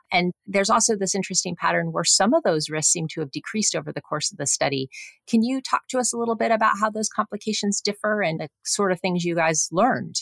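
Female podcast host, medical interviews: low, creaky voice, conversational and engaged, dry close sound. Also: a bit bright, no echo.

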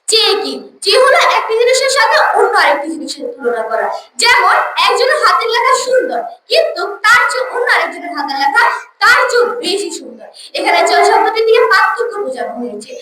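A young schoolgirl giving a formal, declamatory, rehearsed debate speech. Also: very high voice. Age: child or teenage school student. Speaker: school debate first speaker. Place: school debate event, room. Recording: echoey room, distant mic, noisy background.

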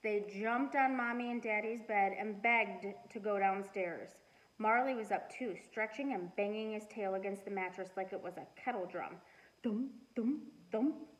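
A middle-aged woman reading a storybook aloud and chatting to children in an expressive, deliberate way. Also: medium pitch, rough recording. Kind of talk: storybook read-aloud and casual chat to children. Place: living room at home.

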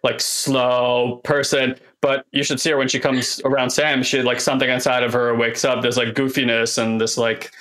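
Guy co-hosting a podcast, low monotone bassy voice but bright tone, rambles on, swears a bit. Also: moderate pace, few pauses. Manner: rambling, enthusiastic, swears casually. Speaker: podcast co-host. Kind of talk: casual conversation.

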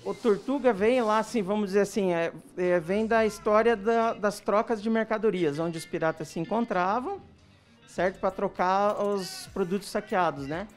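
A man, talking haltingly in an informal interview, muffled by a mask. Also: high-pitched. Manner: halting, frequent tag questions. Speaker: restaurant representative. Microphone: handheld microphone. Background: background music.

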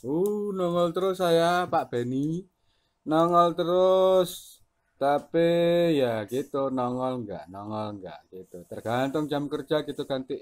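A man's voice: on the high side, slow. A man, chatting casually as a CB radio operator. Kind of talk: casual two-way radio chat.

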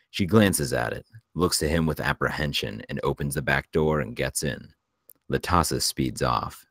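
A man reading screenplay stage directions aloud as a narrator, in measured present-tense narration. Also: very deep voice, a bit bright, no echo, studio quality.

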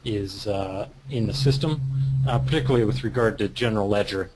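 A man's voice: lowish voice, a little fast, very noisy. A man on a video call who asks a detailed question hesitantly, with many "you know"s. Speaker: participant asking a question.

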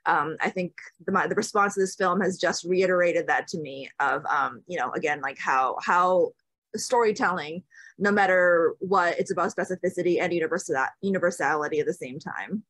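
Animated woman in her thirties, dry close sound. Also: on the low side, no echo. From Japan, raised in the US Midwest. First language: Japanese. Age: 30s. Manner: animated, quick, many "like"s. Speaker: filmmaker. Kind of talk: casual conversation. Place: room at home. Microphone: video call.

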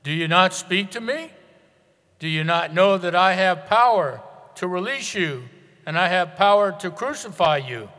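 A man playing a commanding Roman governor in a dramatic scripture reading, loud, formal and declamatory. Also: high-pitched, animated, a little slow, exaggerated voice. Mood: demanding. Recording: long reverberant hall echo.

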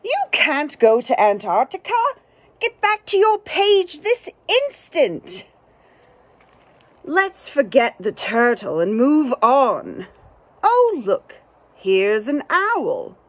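A bossy, exasperated storybook narrator read by a woman declares animal facts and scolds. The delivery is slow and deliberate, with big swings in pitch. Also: huge pitch swings, no echo, heavily acted. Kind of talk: children's storybook reading.

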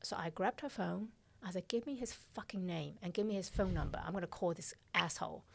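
A woman's voice: fairly low pitch, a little fast, gravelly. A middle-aged woman, a fashion director and podcast guest, telling stories bluntly and animatedly, swearing freely and acting out the dialogue, on a studio mic in a small podcast studio. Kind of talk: casual interview storytelling. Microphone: studio mic on arm, headphones.

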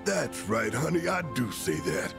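Burly game dad, gravelly, very deep, lively swings, music under. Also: male voice, measured pace. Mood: fatherly. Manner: blustery, then soft with his daughter. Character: gruff burly protective father, hot-tempered. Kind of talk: video game voice acting.

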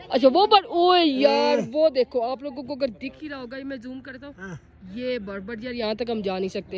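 An excitable young man with a notably high voice, talking straight into his phone on a mountain roadside. Chattering people and passing traffic fill the outdoor phone audio around him, and he yelps loudly whenever something startles him. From Pakistan. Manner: excitable, squeals and shouts when frightened. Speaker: vlogger. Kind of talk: casual travel vlog narration. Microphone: phone held at arm's length.

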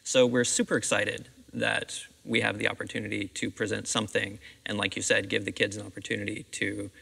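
A man's voice: lowish voice, flat delivery, fairly quick, vocal fry, bright, forceful tone, slightly rough. A middle-aged American man answering interview questions in conversation as a theatre director. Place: seated on the stage of a theatre auditorium. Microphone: clip-on lapel microphone. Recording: reverberant empty auditorium.

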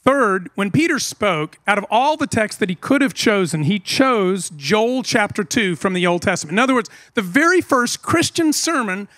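A man's voice: high-pitched, very animated, normal speed, few pauses, a bit bright, no echo. A middle-aged man preaching a sermon outdoors under a tent, earnest and emphatic, into a stand mic. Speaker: pastor.

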